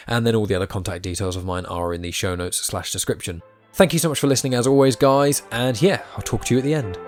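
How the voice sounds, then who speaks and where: A young man hosting a casual podcast, talking quickly and enthusiastically. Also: on the low side, dry recording, studio quality. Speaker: podcast host.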